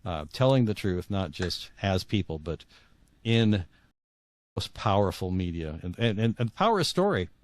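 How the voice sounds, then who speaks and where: A man speaking as an interview guest, earnest and opinionated, in halting conversational talk that repeats words. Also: low-pitched, very animated, darkish tone, dry recording.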